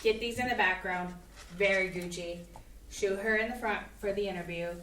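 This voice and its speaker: A woman's voice: mid-range voice, fairly even intonation, moderate pace, a bit bright, natural voice. A woman reading the part of an offhand young photographer in a staged play reading. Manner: offhand, slangy. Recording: roomy, distant, faint hiss.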